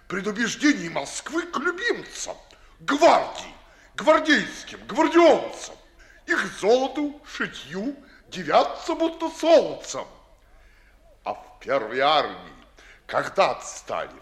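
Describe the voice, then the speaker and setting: Pompous colonel with wildly swooping pitch. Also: male voice, a bit bright, full character voice. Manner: pompous, chortling, swooping delivery. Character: Skalozub, blustering, dim, self-satisfied army colonel. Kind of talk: 1950s radio theatre comedy acting.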